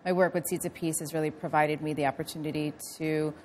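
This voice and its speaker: A young woman from Afghanistan, a guest on an interview show, answering earnestly and in a measured way with frequent fillers. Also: low-pitched, little pitch movement, darkish tone, slight background noise. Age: young adult. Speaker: guest, nonprofit program director.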